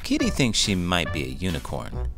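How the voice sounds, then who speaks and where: A man narrating a children's story as read-aloud host, expressive and deliberate. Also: deep voice, over loud music.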